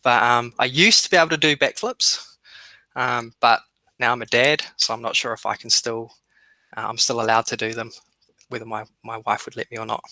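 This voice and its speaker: A man's voice: mid-range voice, fairly even intonation, fairly quick, sharp tone, no room sound, rough recording. A man, a technical lead, introducing himself modestly on a video call. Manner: modest, self-deprecating.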